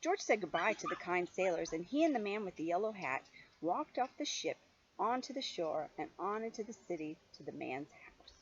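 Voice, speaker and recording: A mature woman reading a picture book aloud to a child in an animated, playful way, with chatty asides. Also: fairly low pitch, normal speed. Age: middle-aged or older. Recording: dull lo-fi home recording, faint noise.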